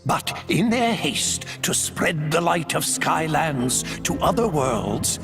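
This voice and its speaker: An actor, a man, narrating game lore as a grave storyteller of ancient legends, measured and dramatic. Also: on the high side, vocal fry, full character voice. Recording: music behind the narration.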